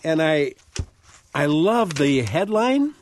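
A man hosting a call-in radio show, reacting warmly to his guest and callers. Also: on the high side, sing-song, slow pace, a little hiss or hum. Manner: friendly, reactive.

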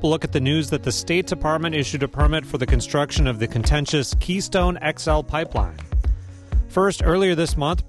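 A man hosting a public radio interview show, reading measured, scripted introductions and asking questions. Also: a little high. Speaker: radio host.

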